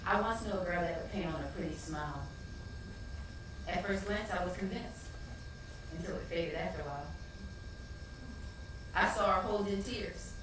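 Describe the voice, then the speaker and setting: Reflective, earnest woman played by an actress delivering stage monologue; reverberant venue with clear background noise. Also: fairly low pitch, moderate pace, pauses often, natural voice. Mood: reflective, heartfelt. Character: reflective, heartfelt woman who loves poetry. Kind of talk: stage play monologue and spoken word. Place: stage in a large roomy venue. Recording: echoey stage, distant mic, background noise.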